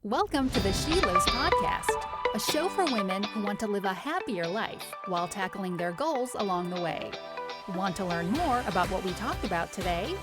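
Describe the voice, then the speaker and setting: Lively woman announcer over loud music. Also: mid-range voice, moderate pace. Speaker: show announcer. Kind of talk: scripted show intro.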